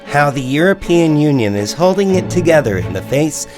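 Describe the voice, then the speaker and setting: An actor playing a serious news anchor in an audio drama, reading formal, measured and urgent scripted news. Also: male voice, on the high side, very animated, music in the background. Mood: grave, urgent.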